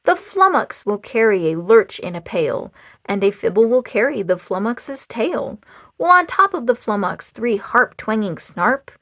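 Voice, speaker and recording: A woman reading a rhyming children's book aloud in a theatrical, expressive and playful storytime manner. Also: a little high, dry recording. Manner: dramatic, expressive, playful emphasis.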